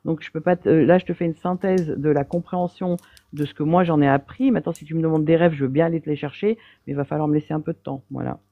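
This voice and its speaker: A woman's voice: sits low, steady tone, quick pace, dry recording. A woman calling into a live debate, defending her view in a hesitant way with many fillers. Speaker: debate caller. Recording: dry tinny phone line.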